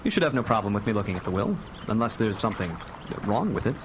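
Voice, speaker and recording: Protective lawyer dad male actor, radio drama: quick, articulate, unbending, clear background water noise. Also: fairly low pitch, sing-song. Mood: confident. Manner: firm, articulate, slightly formal. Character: principled, protective father and attorney, firm and dryly confident. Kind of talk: live radio drama acting. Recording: noisy live radio play, watery ambience.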